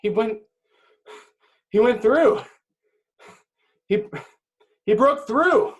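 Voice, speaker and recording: An emotional young superhero voiced by a man in a screenplay reading. His voice rides high, and he repeats himself in halting, urgent bursts, unsure of himself. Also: expressive, heavily acted. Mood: breathless awe.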